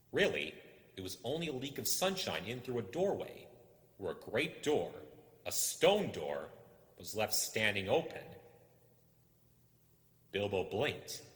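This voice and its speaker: Male audiobook reader, suspenseful and theatrical, bright forceful tone, big echoing reverb. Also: on the low side. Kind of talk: dramatic scripted storytelling. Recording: echoey, long reverb.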